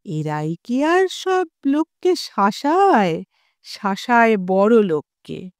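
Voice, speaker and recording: A woman voicing a calm, suave gentleman neighbour in an audio story, softly spoken and gently mocking. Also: medium pitch, slow pace, no room sound, clean studio sound. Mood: slyly amused. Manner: unruffled, soft, gently mocking.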